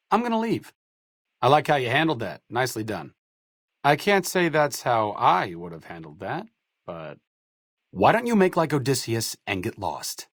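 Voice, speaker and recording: A wry nerdy idealist doctor from a post-apocalyptic game, articulate, very expressive pitch, dry studio sound. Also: male voice, husky, no echo. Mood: indignant, then dry sarcasm. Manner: sardonic, articulate, dryly witty. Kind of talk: video game companion dialogue.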